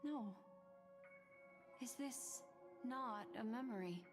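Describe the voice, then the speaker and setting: An actress playing a poised, secretive, calculating woman in a game, speaking in a measured, musing way. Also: a little high. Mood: troubled musing. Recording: clear music behind the voice.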